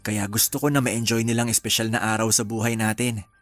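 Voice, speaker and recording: An actor playing an accommodating groom-to-be in a radio drama, relaxed and agreeable. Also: male voice, fairly low pitch, a little fast, no room sound, soft background music, lightly acted. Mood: easygoing. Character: easygoing groom-to-be, accommodating fiancé.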